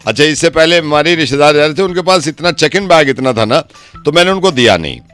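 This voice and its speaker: Prank-call comedy: male performer as a chatty, pushy middle-aged uncle, rambling and hesitant, animated pitch, music underneath. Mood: chatty, insistent. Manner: rambling, insistent, hesitant pauses.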